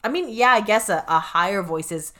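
A woman's voice: medium pitch, lively intonation, a little fast, sharp tone. A woman co-hosting a podcast who is a singer, explaining casually and rambling with lots of fillers.

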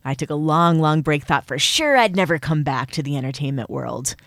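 A man's voice: on the high side, expressive, brisk, creaky, fairly bright tone, no room sound, clean studio sound. A man from Michigan with a Midwestern accent, a host and actor, chatting eagerly in an interview. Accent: Midwestern American. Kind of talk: casual interview chat.

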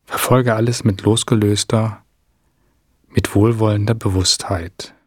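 A man narrating a guided meditation in a calm, soothing, measured way with long pauses, his voice soft and slightly husky. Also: lowish voice, no room sound, studio quality.

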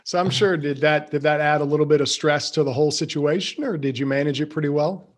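A man's voice: a little high, fairly even intonation, fast. A man hosting an interview, affirming the guest often and asking follow-up questions, using "y'all". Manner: affirming, frequent "yeah" and "okay", uses "y'all". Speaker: interview host. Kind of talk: conversational interview.

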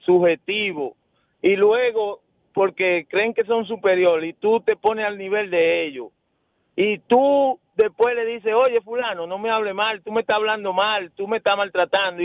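High-pitched male caller on a tinny phone line. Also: dry recording. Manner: earnest, rambling question. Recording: phone call on air.

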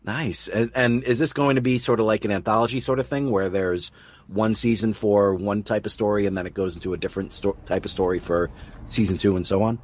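A man's voice: lowish voice, fairly even intonation, a little fast. A man hosting an interview podcast in an enthusiastic, effusive manner. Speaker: podcast host.

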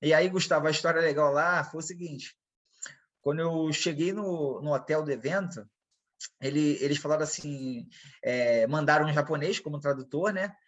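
A Brazilian man talks through his fighting past in a relaxed interview, circling back and repeating words as he acts out what others said. His voice sits a little high and has a husky edge. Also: moderate pace, highs cut off. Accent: Brazilian Portuguese. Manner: rambling, repeats himself, quotes others in dialogue. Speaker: MMA fighter, guest. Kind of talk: casual interview storytelling.

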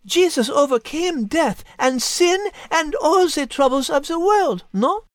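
Casting: prim, sensible French she-cat host, very high voice, politely exasperated, close dry recording. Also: lively intonation, unhurried, no room sound. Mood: earnest. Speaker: co-host in character. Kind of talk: scripted podcast banter and storytelling.

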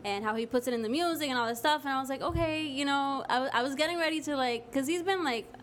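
A woman's voice: sits high, fast talker, crowd noise. A young woman from the Dominican Republic co-hosting a live podcast, talking candidly and outspokenly with casual swearing. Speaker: podcast co-host.

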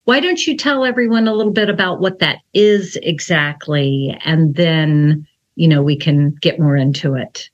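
A woman's voice: deep voice, moderate pace, muffled recording. A middle-aged woman hosting a podcast, moving from a scripted intro into an enthusiastic, affirming interview chat. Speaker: podcast host.

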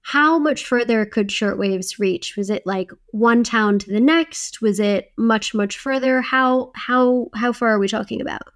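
A woman's voice: on the high side, a little slow, few pauses. Inquisitive woman co-hosting a podcast. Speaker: podcast co-host. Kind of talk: conversational interviewing.

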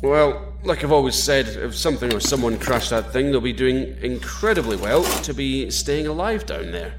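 An actor playing a steady, easygoing astronaut captain with a Scottish accent, wry and reassuring in a sci-fi audio drama. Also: male voice, medium pitch, normal speed, background noise, natural voice. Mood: wry, relaxed.

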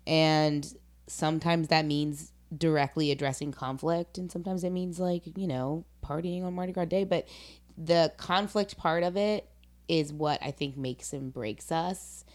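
A woman hosting a casual, philosophical conversation, speaking in an earnest, deliberate, opinionated way. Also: low voice, steady tone.

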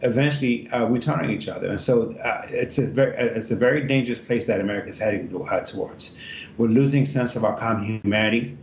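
A man from Ethiopia, a congressional candidate, answering interview questions in a halting way with many fillers and restarts. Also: gravelly. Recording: roomy, dull remote line with faint rumble.

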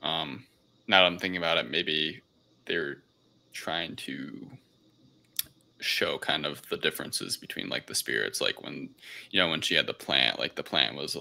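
A man with an extremely low voice full of creak chats casually as a podcast co-host. His tone has a very sharp, forward edge, and he phrases things tentatively. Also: expressive, measured pace. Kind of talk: casual film review discussion.

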